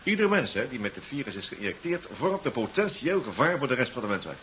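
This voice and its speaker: Animated lab colleague, noisy recording. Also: male voice, medium pitch, fast. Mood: persuasive. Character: eager, helpful male colleague in a laboratory. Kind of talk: 1970s science fiction radio play acting. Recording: very noisy, dull 1970s radio recording.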